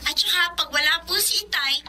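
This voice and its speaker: An actress playing a polite, gentle young daughter who speaks respectfully in a Filipino radio drama. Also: female voice, very high-pitched, brisk. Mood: caring. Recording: noisy ambience behind the voice.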